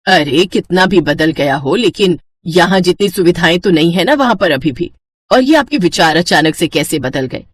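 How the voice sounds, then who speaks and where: A woman's voice: fairly low pitch, animated, normal speed, no echo. A woman voicing a practical middle-aged mother in a storybook tale, chiding and nagging but fond. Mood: exasperated. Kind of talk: animated Hindi moral story dialogue.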